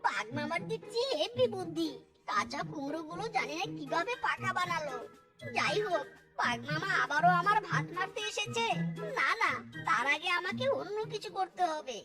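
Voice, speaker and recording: A man's voice: extremely high pitch, animated, normal speed, loud music behind the voice. A man voicing a small, cunning, boastful male fox in a cartoon, cocky and crafty. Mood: smug, crafty. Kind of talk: exaggerated cartoon voice acting.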